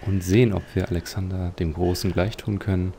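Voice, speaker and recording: A man giving reflective, slightly formal game commentary, pausing hesitantly as he thinks aloud. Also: sits low, steady tone, warm tone, slight background noise. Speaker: let's player.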